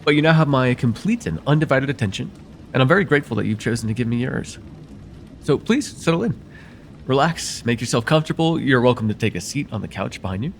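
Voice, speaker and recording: A man playing a courteous nocturnal vampire therapist in an ASMR roleplay, speaking formally and gently to the listener in a soothing, slightly hesitant way. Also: medium pitch, lively intonation, fast, vocal fry, a little hiss or hum. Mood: soothing, attentive.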